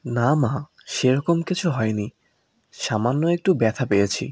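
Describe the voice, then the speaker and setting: A man playing a gentle, reassuring, soft-spoken father in a cartoon. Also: mid-range voice, lively intonation, moderate pace, no room sound, natural voice.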